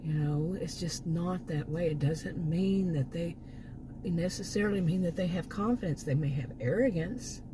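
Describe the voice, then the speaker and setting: Hoarse woman rambling to camera. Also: on the low side, normal speed, noisy background. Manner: rambling, frequent restarts and asides. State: hoarse, losing her voice. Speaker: vlogger. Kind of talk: casual unscripted monologue.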